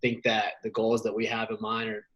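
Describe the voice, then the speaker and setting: A man from Ohio co-hosting a podcast, talking in a relaxed, rambling way. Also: lowish voice, fairly quick, highs cut off. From Canton, Ohio. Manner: relaxed, rambling conversational delivery. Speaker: podcast co-host. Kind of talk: casual interview chat.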